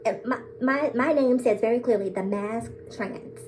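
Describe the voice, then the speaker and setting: A woman's voice: fairly high pitch, normal speed, darkish tone, slight background noise, poor audio. Sassy, bold woman vlogger. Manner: sassy, bold, laughs often; addresses viewers as girl, sis, baby. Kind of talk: casual to-camera monologue.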